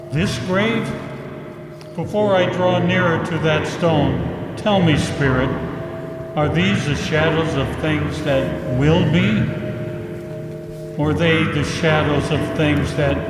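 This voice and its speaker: A man playing a repentant old miser in theatrical, exclamatory 1940s radio drama style, drawing out his words. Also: on the high side. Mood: fearful dread. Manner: theatrical, drawn-out, exclamatory delivery. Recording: echoey room with loud music. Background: loud music.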